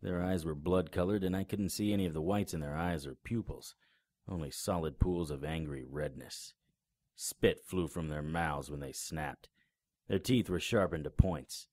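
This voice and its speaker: An actor in an audio drama playing a cynical, sardonic, hard-boiled private investigator with a terse delivery. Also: male voice, low-pitched, a little mellow, no room sound. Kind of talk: audio drama acting with noir narration.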